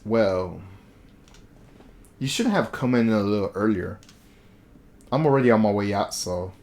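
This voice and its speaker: A man's voice: fairly low pitch, lively intonation, measured pace, darkish tone. A man voicing a curt, flustered teenage schoolgirl in a visual novel, brusque and dismissive, over music. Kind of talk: visual novel character reading.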